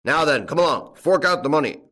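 A man's voice: lowish voice, lively intonation, normal speed. A man voicing an angry, domineering farmer in an audiobook, with a growling, bullying delivery. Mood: impatient, growling. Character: angry domineering male farmer demanding his stolen money. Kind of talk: audiobook character acting.

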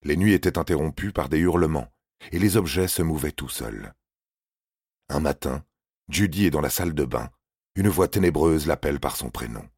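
A man's voice: very deep voice, lively intonation, moderate pace, darkish tone, breathy edge, no echo, clean studio sound. A man narrating scripted paranormal stories in a grave, suspenseful, dramatic manner. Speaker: narrator of a paranormal true-story podcast.

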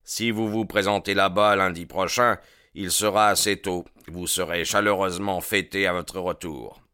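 A gang boss handing out orders, played by a male reader in a low, bright voice. He is commanding and smooth-talking. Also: steady tone, normal speed, no room sound, studio quality.

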